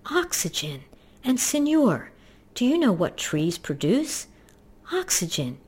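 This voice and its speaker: An earnest little storybook porcupine voiced by a woman poses questions and answers them with emphasis. The pitch is raised above ordinary narration. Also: sing-song, slow, a little mellow, slightly rough, heavily acted. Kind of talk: storybook character voice.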